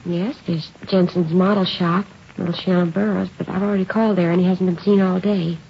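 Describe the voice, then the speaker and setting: Worried 1950s radio mother, early forties, lowish voice, restrained and polite, hissy old recording. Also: steady tone, fairly quick, vocal fry, lo-fi sound. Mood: growing worry. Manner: hesitant, polite, restrained anxiety. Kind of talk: 1950s radio drama acting.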